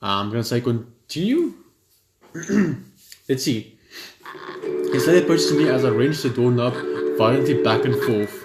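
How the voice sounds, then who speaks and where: A man's voice: medium pitch, animated, moderate pace, pauses often. A man playing a game on video, commenting and reading the story text aloud, tripping over words now and then. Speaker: gaming video host. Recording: roomy echo, faint game music.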